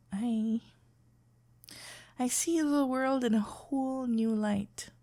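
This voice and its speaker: A woman playing a shy, smitten florist best friend in a romantic ASMR roleplay, speaking hesitantly with stammers and halting phrases. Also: fairly high pitch, darkish tone. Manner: hesitant, stammering, halting, with whispered breathy moments.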